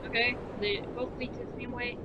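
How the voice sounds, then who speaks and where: A woman's voice: flat delivery, brisk. A woman doing casual, informal let's play commentary, muttering to herself as she plays a game. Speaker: gaming video host. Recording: muffled audio with loud rumbling noise.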